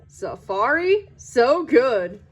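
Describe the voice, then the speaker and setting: A woman's voice: a little high, sing-song, drawn-out words, husky, faint music behind, exaggerated voice. A woman voicing a male jungle boat skipper in a storybook, joking and mock-serious with puns. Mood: jokey patter. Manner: punning, mock-serious delivery. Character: jokey male river-boat skipper, deadpan tour patter.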